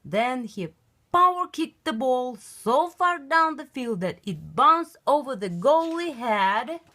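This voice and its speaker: A woman reading a children's picture book aloud in an expressive, emphatic storytelling style. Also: high-pitched, takes it slowly.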